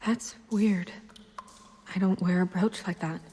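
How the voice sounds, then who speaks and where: A woman playing a composed but uneasy young official in a video game, speaking with restraint and precision in a soft, husky voice. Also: fairly low pitch, steady tone, measured pace. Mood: analytical, troubled. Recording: long hall reverb.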